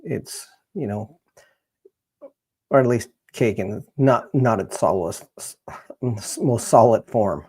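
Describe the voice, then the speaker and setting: A Canadian man hosting a casual livestream, chatting to viewers in a rambling, halting way as he thinks aloud, with a gravelly, husky voice. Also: on the low side, darkish tone. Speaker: livestream host, genealogist.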